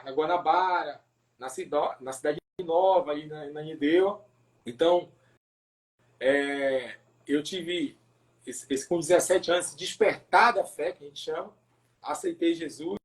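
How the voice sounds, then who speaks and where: A man from Pará with a northern Brazilian accent telling his personal testimony as a pastor in a casual interview, haltingly, repeating words and adding tag questions. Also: fairly high pitch, rough recording. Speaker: pastor, podcast guest.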